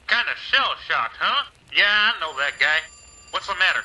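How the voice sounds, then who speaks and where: Male actor as offhand train-yard worker: high, very bright voice, slangy, old radio drama. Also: expressive, normal speed, heavily acted, low-quality recording. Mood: helpful. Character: casual railyard repairman. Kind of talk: old time radio acting.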